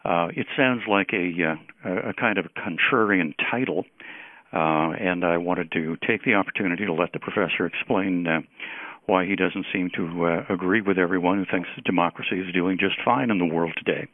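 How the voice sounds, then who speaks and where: A man moderating an interview, very halting as he feels his way toward each question. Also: deep voice, no room sound. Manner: very halting, slow to reach the point. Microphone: telephone. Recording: dry, narrow telephone line.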